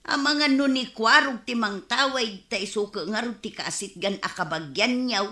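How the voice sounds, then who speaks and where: A woman's voice: a little high, moderate pace, bright, forceful tone. A woman playing a nosy, gossiping village neighbour with an Ilocano accent in a radio comedy drama. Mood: prying. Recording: roomy, echoing studio sound.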